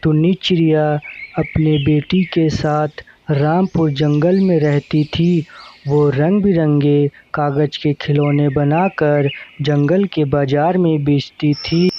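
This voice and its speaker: Slow-paced male cartoon storyteller. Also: on the high side, fairly even intonation. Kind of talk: scripted cartoon story narration. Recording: dull sound with faint noise.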